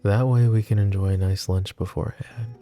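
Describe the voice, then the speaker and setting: A man voicing a wry, tender mafia boss in an ASMR roleplay, soft-spoken and teasing. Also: on the low side, fairly even intonation, fairly quick, soft background music, close to an everyday voice. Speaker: voice actor.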